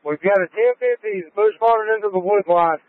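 A man's voice: sits high, brisk, no room sound. A male state trooper giving clipped, coded reports over a police radio during a pursuit. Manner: clipped procedural phrasing in radio codes. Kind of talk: terse police radio traffic. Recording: tinny narrowband police scanner radio.

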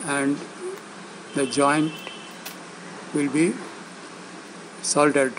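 A man gives step-by-step instructional narration in a slightly high voice. He speaks very slowly, in short phrases broken by pauses. A steady hum and buzz sits behind his words. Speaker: instructor demonstrating loudspeaker assembly.

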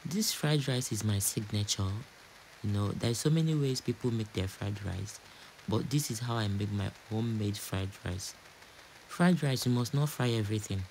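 A man's voice: lowish voice, darkish tone, a little hiss or hum, low-quality recording. A man from Nigeria with a Nigerian accent, chatting casually and repeating phrases as a home cook narrating a cooking vlog.

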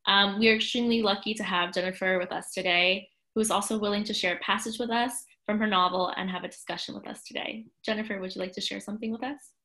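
A woman's voice: mid-range voice, steady tone, fast, hardly any pauses, pushed and bright. A young adult woman moderator on a video call, reading a summary from her notes. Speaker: student moderator.

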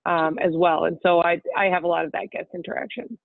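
A woman's voice: fairly low pitch, steady tone, fairly quick, creaky, no room sound. A woman who is a chef and restaurant owner, answering interview questions in a direct, fluent way with an occasional um. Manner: direct, fluent, with um and you know. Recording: dry, tinny phone-like audio.

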